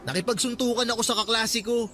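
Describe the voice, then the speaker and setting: A man's voice: very high voice, fairly even intonation, fast talker, heavy background noise. A man playing a hot-headed, resentful college-age son in a Filipino radio drama, complaining in a sulky, defiant way. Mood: angry, boastful. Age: young adult. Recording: loud music behind.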